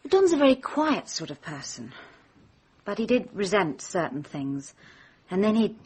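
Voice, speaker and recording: An actress playing a guarded, intelligent young British woman in a radio drama, blunt and sharp-tongued. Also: mid-range voice, big pitch swings, a little slow, breathy edge. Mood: earnest, confiding. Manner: blunt, sardonic.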